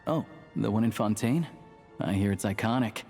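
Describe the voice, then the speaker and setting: A man's voice: lowish voice, moderate pace, a little mellow, soft background music, close to an everyday voice. An actor playing an easygoing, knowledgeable local man in a video game, talking in a casual, conversational way. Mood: relaxed, friendly. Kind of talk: video game character dialogue.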